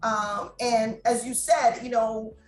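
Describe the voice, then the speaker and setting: A high-pitched middle-aged woman from Jamaica chats freely about her businesses, laughing easily as she talks. Her voice rings in an echoey room while faint music plays underneath. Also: fairly even intonation, takes it slowly. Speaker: restaurant owner and guest. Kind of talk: interview conversation.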